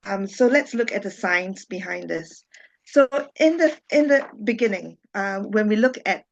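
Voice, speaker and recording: Gravelly-voiced woman on a dull call. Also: mid-range voice, lively intonation, normal speed. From Singapore. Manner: chatty and warm, with frequent ums and small laughs. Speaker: webinar facilitator, social worker. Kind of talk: friendly webinar presentation. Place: home. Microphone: video call. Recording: dull lo-fi video call audio.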